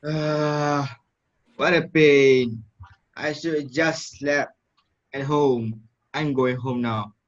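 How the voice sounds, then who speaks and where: A man's voice: a little high, steady tone, drawn-out words, long pauses. A young man playing a rude, hot-headed male student slacker in a student drama. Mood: bored, sulky. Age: young adult. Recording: dull lo-fi home recording.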